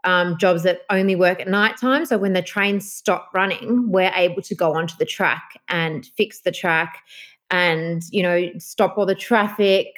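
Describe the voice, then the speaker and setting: A woman with an Australian accent and a nasal voice, chatting as an interview guest with many fillers and restarts. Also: fairly low pitch. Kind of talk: casual interview chat.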